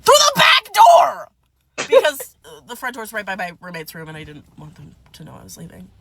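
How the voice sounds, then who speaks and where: A woman's voice: fairly high pitch, a little fast, a little hiss or hum. A young woman who is sick and a little congested, telling a messy personal story in a sarcastic, dramatic storytime vlog. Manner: animated, sarcastic, dramatic retelling.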